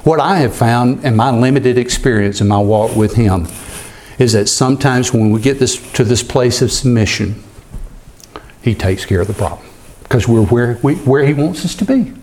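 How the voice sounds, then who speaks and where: A man's voice: mid-range voice, moderate pace, darkish tone, a little hiss or hum. A man pastor preaching an earnest expository sermon, sometimes repeating a word as he goes.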